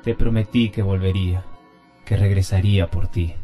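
A man's voice: low voice, fairly even intonation, moderate pace, mellow, natural voice. A man playing the ghost of a young man, solemn and tender, in a radio drama with music behind. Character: ghostly young man returning for his beloved. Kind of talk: Spanish-language radio drama acting.

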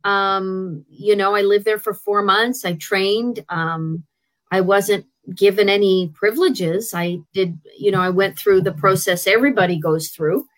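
A woman's voice: mid-range voice, fairly even intonation, measured pace, rough recording. A middle-aged woman with a Canadian accent, a guest telling her life story frankly in a conversational way, with hesitant restarts. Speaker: interview guest, traditional healer. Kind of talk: candid personal storytelling.

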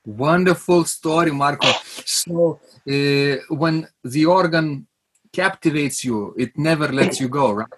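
Man, podcast host, husky, halting questions, frequent fillers. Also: fairly high pitch, low-quality recording. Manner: hesitant, many uh fillers, asks leading questions. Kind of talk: podcast interview.

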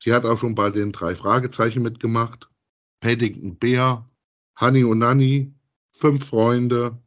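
A middle-aged man co-hosting a casual review podcast, speaking in a halting, hesitant way. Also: mid-range voice, little pitch movement, dull audio. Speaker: podcast co-host. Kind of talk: casual review chat about audio dramas.

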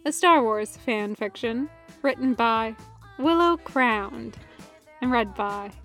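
High-pitched, unhurried woman narrator. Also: fairly bright tone, soft background music. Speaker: podfic narrator. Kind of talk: scripted fan fiction narration.

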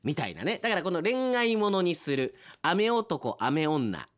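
A man's voice: a little high, big pitch swings, unhurried, fairly bright tone. A man hosting chatty radio after-show talk, reading out submissions with playful asides. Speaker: radio host and announcer.

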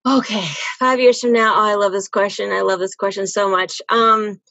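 A woman's voice: mid-range voice, fairly even intonation, normal speed, pushed and bright, no room sound. A woman giving casual, earnest answers as a podcast guest, often repeating phrases for emphasis. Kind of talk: casual interview conversation.

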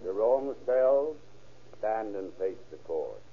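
An actor playing a stern judge, solemn and authoritative, in an old radio courtroom drama. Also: male voice, lowish voice, animated, slow pace, heavily acted. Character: stern, solemn male judge passing sentence. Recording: dull, band-limited old radio recording.

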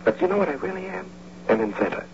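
An actor playing a scheming, smooth-talking husband in an old-time radio drama, with a coaxing manner. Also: male voice, sits high, sing-song, fast talker, a little hiss or hum, full character voice. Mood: fond, sly. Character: scheming smooth-talking husband, engineer turned house-husband bank robber. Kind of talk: old-time radio drama acting with first-person narration. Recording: dull, humming old radio recording.

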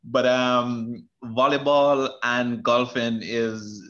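A man's voice: medium pitch, little pitch movement, very slow, bright, forceful tone, highs cut off. A man from Jamaica with a Jamaican accent, a guest talking casually in an interview, often restarting his sentences. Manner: hesitant, with many restarts and fillers. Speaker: guest, retirement specialist.

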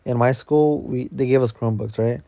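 A man's voice: medium pitch, steady tone, brisk, creaky, warm tone, dry recording. A man from Mexico hosting a podcast, chatting casually in a laid-back way full of fillers. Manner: laid-back, many fillers and repeated yeahs. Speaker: podcast host. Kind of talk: casual chat.

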